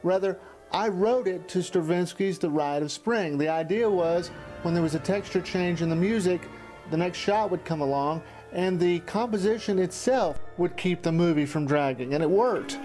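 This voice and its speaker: A man's voice: sits high, normal speed, darkish tone. A man in his forties from Texas narrates a documentary, reminiscing as an amateur filmmaker. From Texas, United States. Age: 40s. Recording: music under the voice. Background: music.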